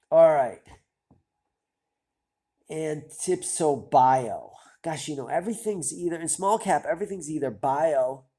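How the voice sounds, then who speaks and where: Host, male, nasal and congested with a cold, mellow husky tone, hesitant self-mocking musing. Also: a little high. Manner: self-deprecating, hesitant, rambling. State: stuffy nose from a cold. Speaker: stock-picking channel host. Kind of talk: casual thinking-aloud commentary.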